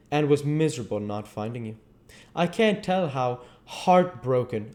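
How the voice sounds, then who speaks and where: Man acting a pained, high-pitched earnest gentleman. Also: lightly acted. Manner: pained, pleading, formal. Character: grave, heartbroken gentleman friend, earnest and reproachful.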